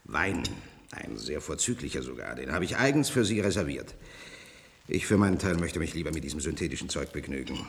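An actor playing a genial, eccentric physics professor in a German radio play, jovial and coaxing, with hesitant asides. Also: male voice, very deep voice, very animated, fairly quick, full character voice. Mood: genial, hospitable. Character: genial, eccentric, unhurried male physics professor, Nobel laureate. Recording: reverberant hall with background noise.

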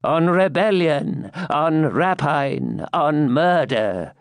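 A man doing a shrill, unearthly evil spirit. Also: expressive, gravelly, no echo, exaggerated voice. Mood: accusing. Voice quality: broken and shrill. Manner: slow, drawn-out accusations.

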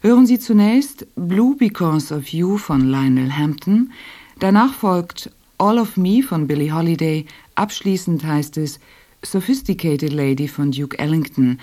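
A woman reading scripted programme announcements on the radio in a measured, formal manner. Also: low voice, animated, warm tone.

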